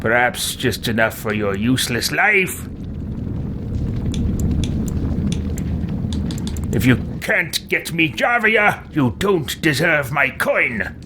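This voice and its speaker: Man putting on a haughty, swooping, slow-talking adventurer. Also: mid-range voice, big pitch swings, noisy background. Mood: contemptuous, threatening. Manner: pompous, menacing, drawn-out. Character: haughty, cruel, theatrical fantasy adventurer. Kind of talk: roleplayed video game dialogue.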